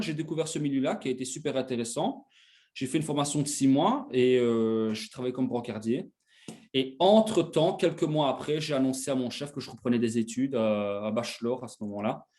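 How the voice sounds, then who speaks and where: Male, 20s, mid-range voice. Relaxed conversational guest talking about himself. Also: medium pitch. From Belgium. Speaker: interview guest. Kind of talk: casual chat, telling his own story.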